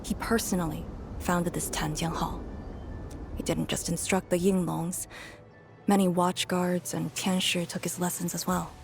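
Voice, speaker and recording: A woman's voice: on the low side, flat delivery, unhurried, gravelly, faint music behind. A woman voicing a composed, blunt, brooding woman warrior in a video game, with a dry, understated delivery. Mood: solemn.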